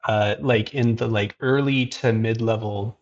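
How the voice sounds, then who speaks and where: A man's voice: lowish voice, fairly even intonation, unhurried, highs cut off. A man hosting an art livestream, talking casually with many fillers and restarts. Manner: casual, filler-heavy, frequent "like" and "um". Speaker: host. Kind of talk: livestream chat.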